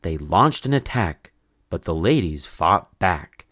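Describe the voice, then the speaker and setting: A man reading a children's story in verse aloud, with an expressive, measured, sing-song delivery. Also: deep voice, no room sound, studio quality. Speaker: storybook reader.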